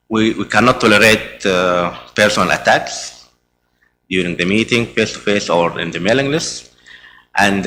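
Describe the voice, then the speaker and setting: Hesitant Arabic-speaking man, bright tone. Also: fairly low pitch, slight background noise, low-quality recording. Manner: hesitant, many "uh" fillers and restarts. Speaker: meeting chair presenting the agenda. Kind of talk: conference presentation. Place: conference hall.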